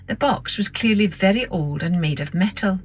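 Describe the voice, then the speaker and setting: A woman narrating a scripted children's story in a measured, expressive storytelling manner. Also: mid-range voice. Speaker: storytelling podcast host.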